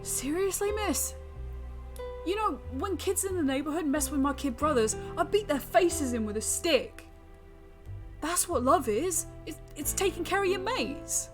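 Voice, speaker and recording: A woman's voice: high-pitched, lively intonation, a little slow, voice pushed up. A woman acts out a young boy from a storybook scene. He is scrappy and blunt, with a raised voice that stammers when scared. Faint music sits behind him. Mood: brash. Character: scrappy, frightened but defiant young boy.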